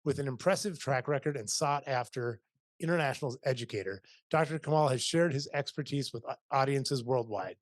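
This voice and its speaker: Male podcast host, middle-aged, energetic, rambling, dry mic. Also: medium pitch, a little fast, dry recording. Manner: upbeat, rambling with restarts. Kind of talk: video-call interview. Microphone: studio mic on boom, video call.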